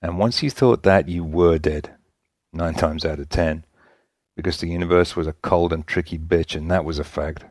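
A man's voice: sits low, steady tone, normal speed, a little mellow, no room sound, studio quality. A man narrating a scripted story written in a folksy vernacular, as an audiobook-style reader.

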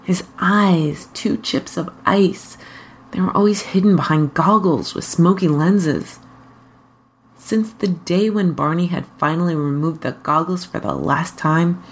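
A woman with a husky voice reading a story aloud as a narrator. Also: lowish voice, measured pace. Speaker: fan fiction reader.

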